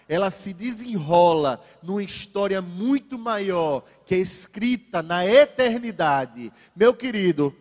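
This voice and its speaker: High-voiced Brazilian preacher in a reverberant hall. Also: male voice. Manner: varies pace for emphasis, ironic asides to the congregation. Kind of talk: sermon.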